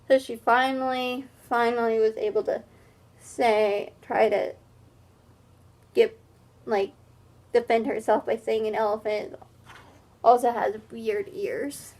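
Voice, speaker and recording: Female read-aloud teacher, high-pitched and unhurried, expressive narration with plain explanatory asides for children.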